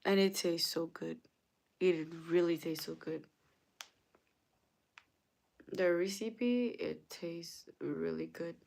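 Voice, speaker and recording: A woman with as her hosting a casual livestream, answering viewers' comments and sometimes dropping to a soft whisper. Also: fairly low pitch, vocal fry. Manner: slow, chatty, often drops to a soft whisper. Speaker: livestream host.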